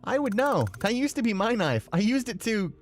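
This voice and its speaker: Man doing chipper younger guy, shift+ high voice, innocent-sounding, sinister streak, horror game dialogue. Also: very animated, normal speed, faint music behind. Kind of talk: visual novel dialogue read aloud.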